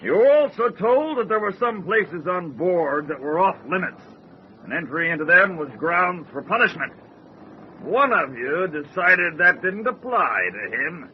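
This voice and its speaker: An actor playing a stern, cruel ship's captain, giving clipped, threatening commands in an old-time radio horror drama. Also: male voice, high voice, big pitch swings, a little slow. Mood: cold authority. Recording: dull, faintly noisy radio recording.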